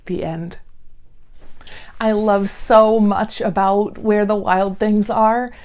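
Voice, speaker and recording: A woman hosting a children's read-along, telling a picture-book story in an expressive, theatrical way. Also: mid-range voice. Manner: slow, theatrical, expressive delivery.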